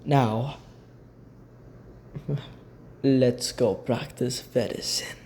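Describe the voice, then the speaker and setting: A man's voice: mid-range voice, steady tone, very slow, darkish tone, slight background noise, voice pushed down. A man voicing a gleeful, eccentric mad doctor in a theatrical way for an amateur cartoon dub. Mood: brisk. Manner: theatrical, exclamatory, giggling. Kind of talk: amateur fan voice-over of a comic animated short.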